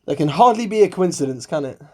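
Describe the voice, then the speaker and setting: A woman playing an eager, cheeky, impatient sidekick in an amateur mystery radio play, bantering and excitable. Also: on the low side, fast. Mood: intrigued. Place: recorded alone at home.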